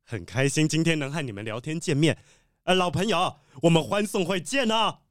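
A man's voice: fairly high pitch, normal speed, no echo, clean studio sound, lightly acted. A man playing a boastful, cheerful animal diplomat and sports champion in a children's detective audio drama, chatty and full of exclamations. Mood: cheerful, sociable.

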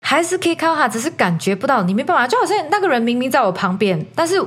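A woman podcast host telling a story in casual chat, animated and slipping bits of English into her talk. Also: high-pitched, rapid-fire, slightly rough. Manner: animated, code-switches into English.

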